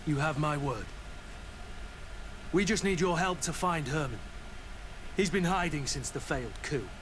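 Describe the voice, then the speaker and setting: An actor playing a loyal mercenary soldier bent on justice in a video game, grave and plain-spoken. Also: male voice, a little high, lively intonation, unhurried, a bit bright, slight background noise. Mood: sober.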